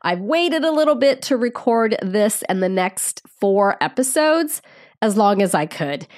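A woman's voice: mid-range voice, very animated, unhurried, a little mellow. A woman with a cold, sounding nasal and congested, hosting a podcast and explaining nursing topics to students in a friendly, direct way. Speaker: nursing educator and podcast host. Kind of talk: podcast teaching talk.

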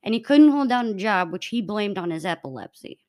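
Young woman podcast co-host, mid-pitch, narrating a true-crime case from notes, a few restarts. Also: lively intonation, brisk, a little mellow.

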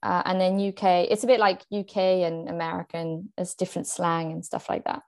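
A woman's voice: on the low side, flat delivery, brisk, a little mellow. A young woman from France, an actress, chatting casually in an interview with frequent fillers and restarts. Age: young adult. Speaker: guest, actress.

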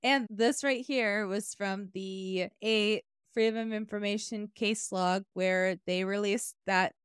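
A young woman hosting a casual podcast chat, swearing freely, excitable and quick to apologize. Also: medium pitch, smooth, no echo, studio quality. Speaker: podcast host presenting research.

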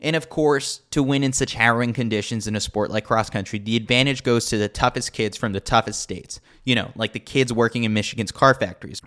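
A man with a fairly low voice reads a scripted sports newsletter aloud. He hosts it like a podcast, delivering the lines in a wry, tongue-in-cheek way. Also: a bit bright. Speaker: podcast host reading a newsletter.

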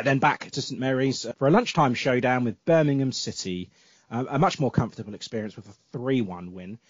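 A man's voice: fairly low pitch, husky, no echo, muffled recording. A man hosting a casual football podcast, chatty and full of fillers, with a sore throat and cough. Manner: chatty, filler-heavy, self-correcting. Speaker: podcast host.

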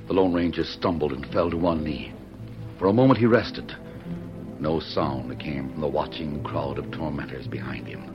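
A man narrating an old-time radio drama in a grave, emphatic, suspenseful style. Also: a bass voice. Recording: muffled vintage radio recording.

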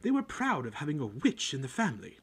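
A man's voice: a little high, huge pitch swings, slow pace, slightly rough, in a whisper, pitched above the performer's own voice, exaggerated voice. A man reading an audiobook, voicing a bitter middle-aged woman who rants spitefully. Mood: bitter ranting. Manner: ranting, spiteful. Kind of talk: audiobook character voice.

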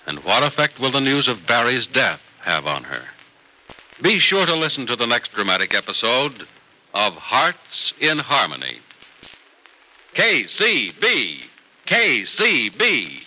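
A male announcer in vintage 1940s radio style. His voice is very bright and forceful, with animated swings in pitch and a polished, emphatic selling delivery. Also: a little slow, rough recording.

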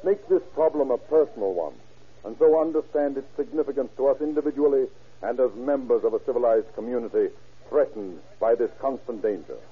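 A man's voice: mid-range voice, brisk, breathy edge, natural voice. A man narrating an old radio crime drama in a grave, formal, moralizing manner. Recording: tinny old radio recording.

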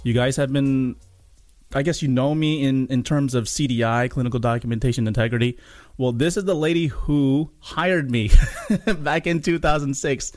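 A man's voice: mid-range voice, normal speed, dry recording. A man speaking as a podcast host, introducing the show and chatting with his guest. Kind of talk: podcast intro and interview chat.

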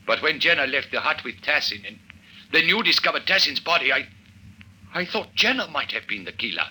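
Casting: jumpy, defensive foreign climber, highish husky voice, swooping intonation, formal wording, vintage radio thriller. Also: male voice, very animated, pushed and bright, heavily acted, rough recording. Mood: anxious, defensive. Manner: formal, halting, uncontracted speech. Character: nervous, defensive foreign male mountain climber. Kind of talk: old-time radio drama acting. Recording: vintage radio drama recording.

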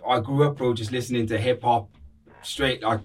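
A man's voice: mid-range voice, fairly even intonation, normal speed, far from the mic. A man from Cardiff with a Welsh accent, chatting casually and telling stories in informal, slangy, repetitive phrasing as a podcast guest. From Cardiff, Wales. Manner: informal, slangy, repeats phrases, frequent 'like' and 'bro'. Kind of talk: casual conversational storytelling.

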